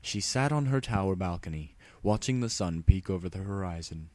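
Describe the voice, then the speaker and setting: A man with a deep voice narrates a story in a dramatic audiobook style. The recording is dry, with very little room sound around the voice. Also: expressive, moderate pace, darkish tone, no echo.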